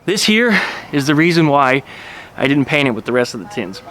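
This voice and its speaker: A man casually showing his car restoration work outdoors by his garage, chatty and relaxed, with lots of fillers. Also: mid-range voice, fairly quick. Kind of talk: casual workshop vlog.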